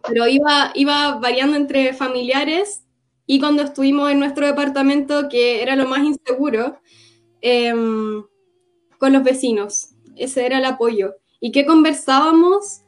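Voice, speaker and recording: A young woman from Gaza giving an earnest, reflective personal testimony in an interview, often pausing to recall. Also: high voice, fairly even intonation, soft background music. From Gaza, Palestine.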